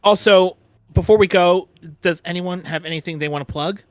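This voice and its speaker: A man with a slightly high voice hosts an informal fan panel. He talks in a halting, unscripted way, doubling back on his phrases. The sound is dry, with little room in it. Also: no room sound. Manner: halting, repeats and restarts phrases. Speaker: panel host.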